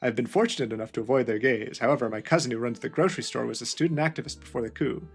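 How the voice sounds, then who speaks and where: A man's voice: medium pitch, very fast, husky, soft background music. A man voicing a friendly male Chilean wine shopkeeper, chatty and polite.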